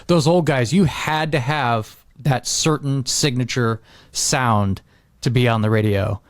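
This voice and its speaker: A man's voice: mid-range voice, a little slow, dry recording, studio quality. A man talking casually and agreeably as a podcast speaker. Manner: agreeable, informal. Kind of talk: casual chat.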